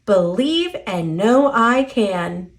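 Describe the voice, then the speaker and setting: A woman with an American accent reading a children's picture book aloud in an expressive, singsong rhyming delivery. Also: mid-range voice, extremely slow. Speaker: storybook reader.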